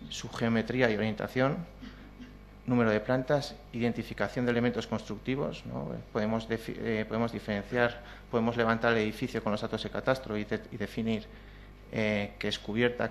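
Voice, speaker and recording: A man's voice: on the low side, gravelly, slight background noise. A man with a Spanish accent presenting a technical talk at a conference, hesitant with many fillers and restarts. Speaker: conference speaker. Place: lecture hall with projected slides.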